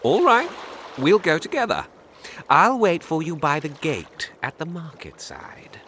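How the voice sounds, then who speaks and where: Sly schemer, wildly swooping voice. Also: male voice, a little high, huge pitch swings, a little slow, a bit bright, a little hiss or hum, heavily acted. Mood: guarded. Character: sly, cautious male schemer after a secret formula. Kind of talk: video game voice acting.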